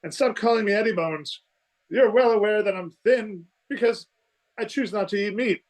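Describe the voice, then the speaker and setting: A man plays an earnest small-town biology teacher in a radio play. The voice is a little high for a man. He speaks as a mild idealist on the defensive. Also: normal speed, natural voice, poor audio. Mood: indignant. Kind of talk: amateur radio play acting.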